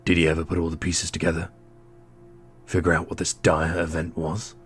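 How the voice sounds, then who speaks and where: Guy doing a deep blunt skeptical dude in a fantasy audio drama, incredulous, music underneath. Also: monotone, a little fast, lightly acted. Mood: probing. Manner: incredulous, plain-spoken. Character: blunt, skeptical adult man, demanding answers.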